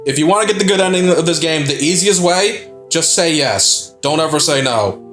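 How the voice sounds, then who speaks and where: A man's voice: a little high, expressive, moderate pace, fairly bright tone. Laid-back young man playing a game. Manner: laid-back, joking, swears. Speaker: gameplay co-host. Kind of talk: casual gaming chat. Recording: game music underneath.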